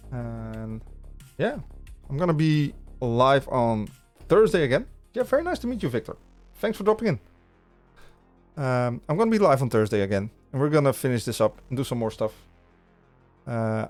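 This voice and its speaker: A Dutch man, speaking English as a second language, talks loosely to his stream viewers at an ordinary middle pitch, stumbling over restarts and repeating words as he thinks aloud. Faint music runs underneath his close, clean podcast-mic sound. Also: a little mellow. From Netherlands. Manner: rambling, many restarts, chatting with viewers. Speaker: livestream coding host. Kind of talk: casual live-coding stream chat. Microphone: studio podcast mic.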